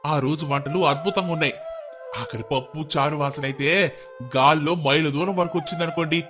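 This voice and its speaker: An eager landlord's clerk, played by a man, is courteous and flattering. His storybook voice is earnest and fairly high, with music underneath. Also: brisk, natural voice. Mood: eager report. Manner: deferential, earnest.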